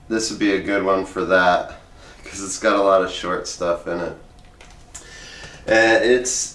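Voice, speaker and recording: A man's voice: lowish voice, a bit bright. A man talking casually and reading a humorous essay aloud in an informal, conversational way. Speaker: host reading aloud from a book. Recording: roomy echo, distant from the mic.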